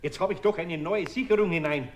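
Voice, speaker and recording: An actor playing a good-natured, harried older master carpenter with a Bavarian accent in a children's radio play, homely and grumbling. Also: male voice, high-pitched, fairly quick, lightly acted. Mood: exasperated. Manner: homely, grumbling, chuckling indulgently.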